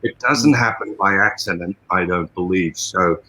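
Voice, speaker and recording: Bright-voiced older Australian man. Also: low-pitched, moderate pace, low-quality recording. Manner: animated, expansive storyteller. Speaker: guest, martial arts trainer. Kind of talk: podcast interview.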